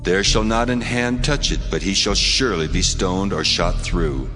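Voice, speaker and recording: A stern and ancient deity, acted by a man, speaks in a very deep voice inside a long, cavernous reverb. The delivery is solemn and commanding, as in dramatized scripture, with music playing loudly underneath. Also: expressive, moderate pace.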